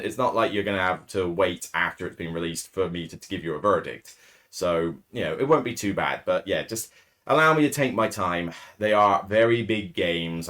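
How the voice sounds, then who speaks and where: A man with a raspy, hoarse voice, hosting a solo podcast in casual, rambling talk full of fillers and self-corrections. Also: sits low. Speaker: podcast host. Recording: distorted, too-loud mic.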